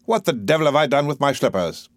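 A man's voice: medium pitch, big pitch swings, moderate pace, dry recording, studio quality, voice pushed up. A man playing an arrogant, impatient male professor with an imperious, condescending, exclamatory way of talking in a play reading. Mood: wrathful, impatient.

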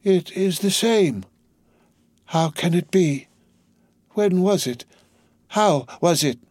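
A man reading aloud, voicing a frail, dazed old male prisoner who speaks in halting, wondering phrases. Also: sits high, lively intonation, darkish tone, no room sound, pitched above the performer's own voice, exaggerated voice. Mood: bewildered. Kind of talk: audiobook character voice.

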